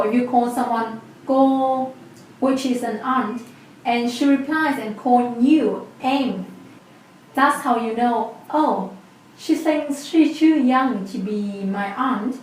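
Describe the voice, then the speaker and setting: A woman's voice: on the high side, darkish tone, distant mic, a little hiss or hum. Young Vietnamese woman teaching, echoey room. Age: 20s. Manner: patient, clear step-by-step explaining. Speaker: language teacher. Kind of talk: explaining a language lesson.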